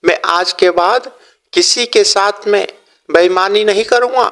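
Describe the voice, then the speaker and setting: A man's voice: sits high, measured pace, a bit bright, pitched above the performer's own voice. A man voicing a greedy, sly merchant in a cartoon story, wheedling and exclaiming in a folksy way. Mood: pleading.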